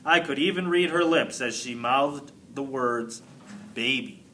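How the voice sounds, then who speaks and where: A man reading a children's book aloud in an expressive, emphatic narrating style. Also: mid-range voice, a little slow, slight background noise. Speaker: storybook reader.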